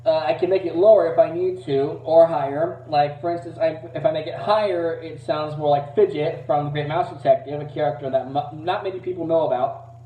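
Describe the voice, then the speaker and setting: Halting man on a roomy, distant mic. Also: steady tone. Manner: hesitant, halting, self-deprecating. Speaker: amateur voice impressionist. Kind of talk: casual rambling voice demo to camera. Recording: roomy, distant, dull low-fidelity mic.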